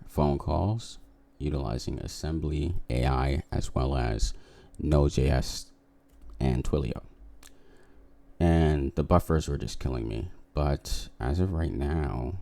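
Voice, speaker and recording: Very deep, dark-voiced man, casual demo. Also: takes it slowly, creaky. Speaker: software developer making a video. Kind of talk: casual coding demo, talking to viewers.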